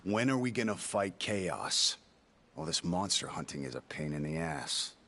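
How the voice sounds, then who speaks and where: Very deep, gravelly brooding warrior. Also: male voice, lively intonation, unhurried, pushed and bright, a little hiss or hum, heavily acted. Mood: blunt, gruff. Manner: blunt, terse, brooding. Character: gruff, single-minded male warrior obsessed with vengeance. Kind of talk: dramatic video game cutscene acting.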